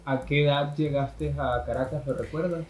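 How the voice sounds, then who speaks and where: A man hosting an interview, asking questions in a slow, deliberate way. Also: on the high side, fairly even intonation. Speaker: interviewer host. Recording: roomy echo with faint music.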